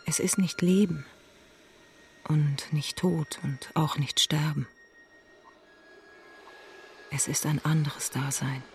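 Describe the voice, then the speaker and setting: An intimate, lower-voiced visionary revolutionary woman. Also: takes it slowly, long pauses, a little hiss or hum. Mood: rapturous, hushed. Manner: intimate, lyrical, often sinking to a hush. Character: visionary woman revolutionary, tender, wry, weary yet rapturous. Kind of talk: German radio drama acting.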